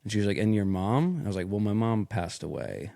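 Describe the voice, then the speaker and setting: A man's voice: lowish voice, expressive, fast talker, gravelly, noisy background. A young American man hosting a podcast in casual, slangy chat with plenty of swearing. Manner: informal, slangy, swears freely. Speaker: podcast host.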